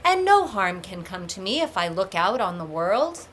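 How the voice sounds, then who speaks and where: A woman's voice: mid-range voice, big pitch swings, normal speed, fairly bright tone, slight background noise. A woman reading the part of a lovesick, stubborn young male god in earnest, declamatory storybook speech. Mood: wistful.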